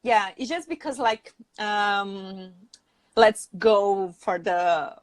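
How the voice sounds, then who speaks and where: A woman's voice: medium pitch, drawn-out words, no room sound. A woman with a Brazilian accent, a native Portuguese speaker, hosting a casual English-learning live chat.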